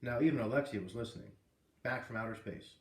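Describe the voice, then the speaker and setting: A man narrating a children's novel aloud in an expressive storybook style, as a read-aloud reader. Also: medium pitch, fast. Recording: echoey room, distant microphone.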